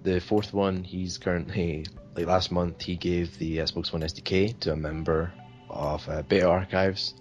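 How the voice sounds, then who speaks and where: A man's voice: low voice, level tone, moderate pace, muffled recording, soft background music. A man from Sweden answering interview questions in a hesitant, rambling way, full of fillers. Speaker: interview guest.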